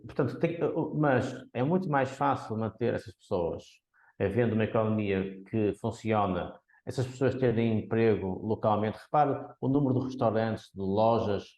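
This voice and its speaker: A man from Porto with a Porto accent, an economist guest on a podcast, explaining his views in a measured way with frequent restarts. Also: fairly low pitch, darkish tone, roomy sound. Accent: Portuguese, Porto. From Porto, Portugal. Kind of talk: podcast interview.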